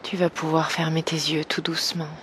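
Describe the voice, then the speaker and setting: A woman guiding an evening meditation slowly and gently, in a soft, breathy voice that nears a whisper, with long pauses. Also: low voice, little pitch movement, a little hiss or hum. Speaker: meditation guide. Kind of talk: guided evening meditation, yoga nidra.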